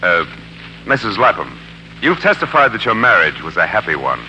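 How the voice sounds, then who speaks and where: A pressing courtroom lawyer, formal and insinuating, with a hard bright voice and animated pitch. It is 1940s radio drama on a band-limited old broadcast. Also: male voice, moderate pace, muffled recording, slight background noise, heavily acted.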